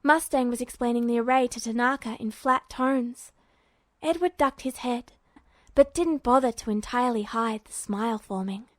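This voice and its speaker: A woman's voice: fairly high pitch, moderate pace, no echo. A woman reading a scripted story aloud as narrator.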